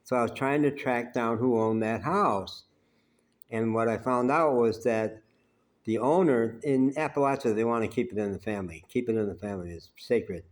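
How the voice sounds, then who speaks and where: Low-voiced man telling rambling stories. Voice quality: naturally loud voice. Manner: unhurried and conversational, with frequent "uh" pauses. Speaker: vlogger telling life stories. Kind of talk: rambling personal storytelling.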